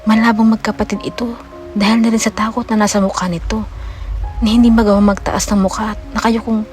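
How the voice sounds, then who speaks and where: A woman's voice: mid-range voice, flat delivery, fast talker, darkish tone, natural voice. A woman voicing a determined, hopeful young eldest daughter in an audiobook, earnest and reflective. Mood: uneasy, puzzled. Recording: music behind the voice.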